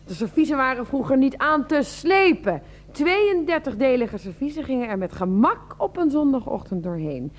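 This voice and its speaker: A woman's voice: sits high, big pitch swings, moderate pace. An actress playing a weary, sardonic middle-aged wife and mother in a radio drama, with a dry, matter-of-fact manner. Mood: wry.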